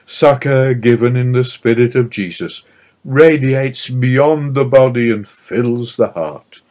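A man reading a scripted religious narration aloud in a solemn, measured manner. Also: mid-range voice, darkish tone.

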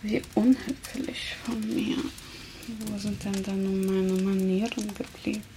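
Slow creaky whisper from a mean flirty vampire lady, a woman playing her, hissy noisy recording. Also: mid-range voice, level tone, close to an everyday voice. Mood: mock sweet. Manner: soft whispering, slow and teasing. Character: teasing, menacing female vampire captor. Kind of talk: ASMR roleplay. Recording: noisy hiss under close whisper.

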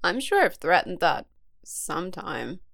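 A woman voicing a casual, sly male demon in snake form for an audio drama, with a creaky voice. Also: on the low side, sing-song, measured pace, a bit bright, heavily acted. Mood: offhand. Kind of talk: audio fiction acting.

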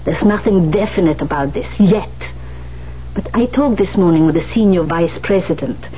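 A woman's voice: on the low side, natural voice. An actress playing a bossy, coaxing older woman who mentors a pianist in a 1970s radio drama, speaking with insistent emphasis. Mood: hesitant, careful. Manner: insistent, emphatic, halting when delicate. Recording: dull vintage radio recording, faint hum.

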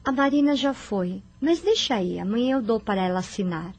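An actress playing a nosy, dreamy office secretary in a radio soap opera. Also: female voice, a little high, expressive, a little fast, a little hiss or hum. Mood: distracted, helpful.

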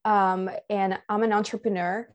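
A woman's voice: medium pitch, little pitch movement, moderate pace, lo-fi sound. A woman from Finland, speaking as a podcast guest in a casual, reflective, earnest way. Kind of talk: casual conversation.

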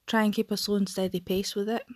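A woman's voice: medium pitch, flat delivery, fast, no room sound, studio quality. A woman in her late twenties from Scotland, giving friendly, direct feedback in a voice message. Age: 20s. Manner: friendly, direct, some ums. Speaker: listener leaving a voice message. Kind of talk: casual spoken voice message.

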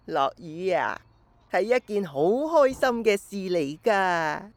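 Slow, gentle old Cantonese grandmother. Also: mid-range voice, sing-song, no room sound. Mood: nostalgic, playful. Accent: Hong Kong Cantonese. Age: older. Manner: slow, wistful storytelling to a small child. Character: elderly nostalgic grandmother, gentle and playful storyteller. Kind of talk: radio drama acting.

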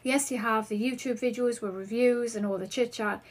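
A woman in her thirties speaks with a Geordie accent, her voice sitting a little high for a woman. She hosts a solo podcast in a chatty, upbeat way, working through questions sent in by listeners. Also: steady tone, moderate pace. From northeast England. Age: 30s. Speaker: podcast host.

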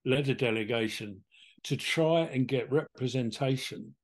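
A man from England with an English accent, talking through ideas as a podcast co-host in a measured, reflective way. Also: mid-range voice. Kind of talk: conversational discussion.

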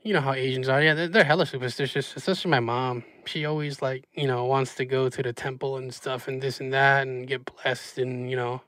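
A man's voice: mid-range voice, steady tone, fairly quick, hardly any pauses, a bit bright, no echo. A man hosting a casual podcast chat, laid-back and laughing often, with lots of fillers. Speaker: podcast host.

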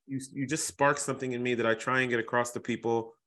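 A man from Boston hosting a podcast, with a bright and forceful voice. He chats casually and halts and repeats words while he works out what to ask. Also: medium pitch, flat delivery. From Boston, USA. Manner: halting, repeats words while searching for phrasing. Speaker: podcast host. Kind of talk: casual interview chat.

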